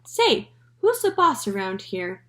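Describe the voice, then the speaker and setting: A woman's voice: wildly swooping pitch, slow pace, fairly bright tone, full character voice. A woman voicing a rude, bossy pet parrot that squawks and repeats its taunts. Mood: squawking taunts. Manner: shrill, repeats taunts over and over. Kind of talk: storybook read-aloud.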